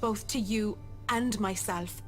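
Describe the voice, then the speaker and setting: A woman's voice: mid-range voice, slow pace, natural voice. A woman playing a guilt-ridden young businesswoman in a visual novel game, speaking with earnest, dramatic emotion. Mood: remorseful. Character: guilt-ridden young businesswoman confronting her dead first love. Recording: music behind the voice.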